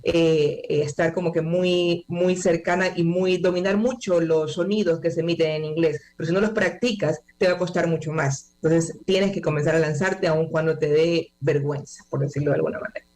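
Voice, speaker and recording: A woman, a language academy manager, giving measured interview answers with frequent hesitant fillers. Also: sits low, fairly even intonation, darkish tone.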